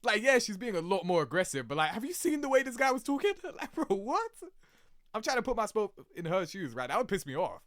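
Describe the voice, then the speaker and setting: A young man giving casual, excitable reaction commentary as a game streamer, chatty and full of slang. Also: sits high, brisk, no echo.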